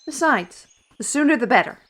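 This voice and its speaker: A woman playing a feisty, proud fantasy artist in an audio roleplay, chatty and indignant, with theatrical, expressive delivery. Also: high voice, normal speed. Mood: exasperated, resolved.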